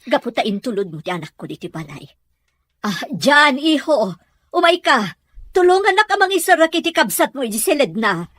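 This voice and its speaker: A woman's voice: high voice, big pitch swings, normal speed, a bit bright, no echo, heavily acted. A woman acting a worried, scolding middle-aged mother in an Ilocano radio drama. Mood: grateful, urgent.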